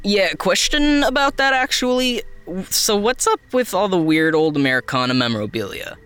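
A man's voice: high-pitched, expressive, normal speed, fairly bright tone, clean tone. A man acting in an audio drama as a chatty, jumpy young team member with a casual, rambling, slangy delivery. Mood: puzzled, joking.

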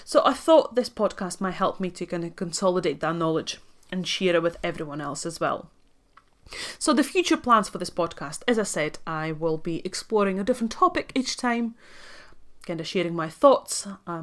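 A woman in her thirties from Latvia, a native Russian speaker whose English carries a Latvian accent with a Scottish tinge, hosting a solo podcast in an earnest, chatty way with frequent ums. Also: lowish voice. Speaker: podcast host and life coach.

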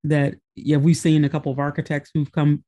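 A man's voice: fairly high pitch, flat delivery, fairly quick, dark tone. A man co-hosting a podcast, introducing a guest in an easygoing, rambling way. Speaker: podcast co-host.

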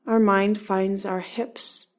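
A woman leading a guided body-scan meditation, giving calm, gentle instructions in short, spaced phrases. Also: mid-range voice, takes it slowly.

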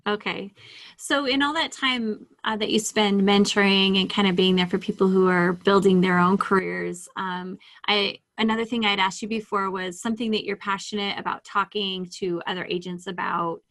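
A woman's voice: mid-range voice, fairly even intonation, normal speed, fairly bright tone. A woman hosting a podcast, putting questions to a guest with friendly, hesitant phrasing. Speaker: podcast host. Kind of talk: interviewing a guest.

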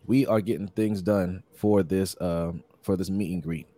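A hoarse man hosting a casual live-streamed sports podcast, upbeat and repetitive, reading out viewer comments and giving shout-outs. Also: low-pitched, fairly even intonation, a little slow, no room sound. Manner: upbeat, repeats phrases, many shout-outs. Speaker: podcast host.

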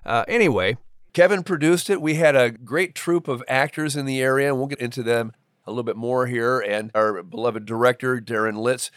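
A man's voice: mid-range voice, brisk, fairly bright tone, no room sound, studio quality. A man co-hosting a podcast in a playful, bantering way. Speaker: podcast co-host. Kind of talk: scripted introduction and casual joking chat.

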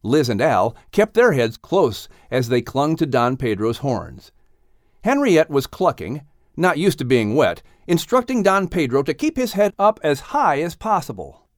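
A man's voice: fairly high pitch, big pitch swings, measured pace, no echo, studio quality. A man reading scripted narration for a children's audiobook.

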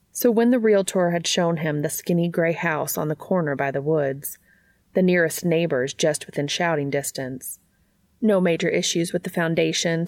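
A woman narrates a story in scripted podcast style. Her voice sits on the low side with noticeable creak at the edges. The sound is close and dry. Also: darkish tone, dry recording. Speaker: podcast host and reader. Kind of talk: scripted narration of fan fiction.

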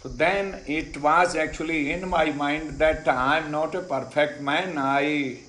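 A middle-aged Indian man whose first language is Hindi, telling his faith story slowly and deliberately, pausing on many "uh"s and repeating phrases. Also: fairly high pitch, steady tone, a bit bright, echoey room. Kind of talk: unhurried personal testimony. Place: ashram in India.

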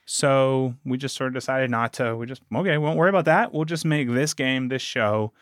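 A man's voice: mid-range voice, fairly quick, no room sound, clean studio sound. A man talking casually as a podcast guest, telling stories and quoting himself and others as he goes. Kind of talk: casual interview conversation.

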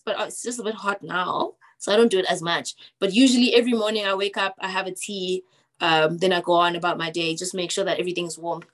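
A young woman singer answering interview questions in a chatty, talkative way, with plenty of fillers. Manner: chatty, fillers, drops to low voice.

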